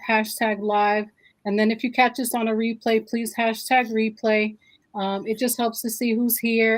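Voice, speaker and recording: A woman's voice: fairly high pitch, low-quality recording. A woman hosting a live-stream interview, friendly and chatty, with many fillers. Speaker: host.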